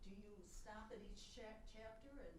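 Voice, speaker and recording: Halting older woman, slightly noisy recording. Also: medium pitch, level tone. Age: 70s. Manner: halting, searching for words. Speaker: audience member asking a question. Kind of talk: casual, personal audience question. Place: live book talk audience. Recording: slight noise on event recording.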